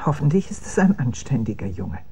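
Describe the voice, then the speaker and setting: Soft, dark-voiced fretful aunt. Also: female voice, rumbling low, sing-song, fairly quick, creaky, slightly rough, heavily acted. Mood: anxious. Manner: nervous, hesitant. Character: fretful, respectable middle-class aunt. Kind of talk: radio drama acting.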